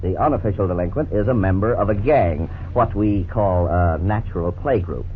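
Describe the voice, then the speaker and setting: Man playing a low-voiced, earnest radio lawyer. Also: lightly acted. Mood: earnest, instructive. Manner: measured, didactic, courteous. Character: earnest, authoritative family lawyer explaining the law. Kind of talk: 1950s radio drama acting. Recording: scratchy, tinny 1950s radio recording.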